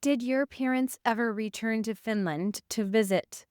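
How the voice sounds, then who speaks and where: A woman hosts an interview with a fairly high, smooth and resonant voice. She asks curious, encouraging follow-up questions. Her voice sits dry and close with no room sound. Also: moderate pace, a little mellow, no echo. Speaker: podcast host.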